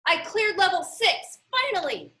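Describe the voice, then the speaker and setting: A woman reading the part of a spirited seventh-grade girl gamer in a storybook, casual and excitable. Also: extremely high pitch, a little slow, echoey room, voice pushed up, heavily acted. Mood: triumphant.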